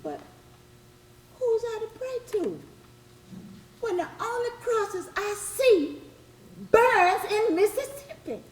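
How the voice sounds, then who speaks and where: A high, sweeping voice of a formerly enslaved Southern woman, speaking slow poetic plantation dialect. She is aching and defiant in love, delivering a stage monologue in a roomy theatre with an audience around her. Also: sing-song, slight background noise, heavily acted. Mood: grieving. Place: stage before a live audience.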